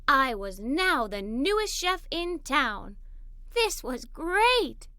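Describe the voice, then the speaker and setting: A woman plays an eager, imaginative little girl narrating her own storybook adventures. The voice is high and bright, with animated, swooping intonation. The delivery is expressive and enthusiastic, made for young listeners. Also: takes it slowly, no room sound, clean studio sound, exaggerated voice. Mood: excited. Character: Molly, excited adventurous little girl telling her day. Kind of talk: children's read-aloud story narration.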